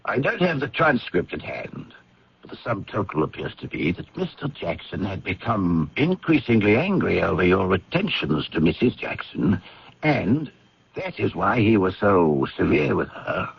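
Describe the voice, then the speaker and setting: Very raspy, stern police inspector. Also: male voice, low-pitched, very animated, normal speed, heavily acted. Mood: officious. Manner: formal, insinuating, official. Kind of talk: old-time radio drama acting. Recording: dull lo-fi radio recording.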